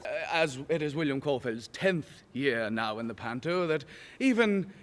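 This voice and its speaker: A man's voice: mid-range voice, expressive, normal speed, slight background noise, close to an everyday voice. A man playing a boastful, child-hating pantomime sorcerer, speaking with theatrical menace in character. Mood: grudging, sly. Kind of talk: in-character pantomime villain interview. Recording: long hall reverb.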